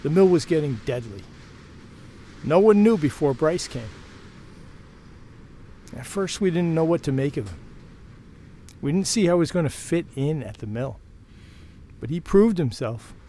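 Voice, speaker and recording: A frank, protective mill worker in an audio play. His voice sits a little high for a man, and he talks plainly and conversationally, reassuring a former lover. Faint noise runs behind him. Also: lively intonation, a little slow, pauses often, mellow. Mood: somber recounting. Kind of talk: audio drama acting.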